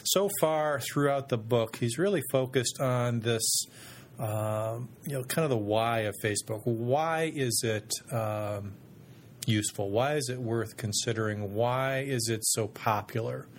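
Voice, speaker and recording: A man hosting a podcast in casual chat, relaxed and often pausing with ums and restarts. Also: medium pitch, a little hiss or hum. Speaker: podcast host.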